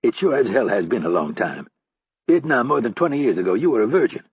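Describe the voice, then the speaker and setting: Dude doing a tough swearing agent, barks it out, husky, flat dull sound. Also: male voice, mid-range voice, fast, no room sound. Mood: gruff. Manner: barking, profane. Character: hard-bitten, foul-mouthed male field agent. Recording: dull, lo-fi dry audiobook recording.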